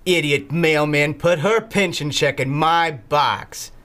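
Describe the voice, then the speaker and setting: An actor playing a grouchy, nervous recluse in an audio drama, irritable and prone to stammering. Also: male voice, a little high, slow pace, sharp tone. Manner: irritable, stammering when anxious. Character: grouchy reclusive man, nervous and pleading under pressure.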